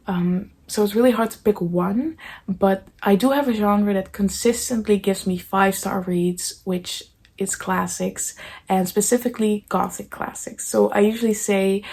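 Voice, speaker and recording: Casting: young Dutch-native woman, soft and earnest, slight vocal fry, casual Q&A host. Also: mid-range voice. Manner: soft-spoken, earnest, many ums. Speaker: booktube host. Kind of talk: casual Q&A talk to camera.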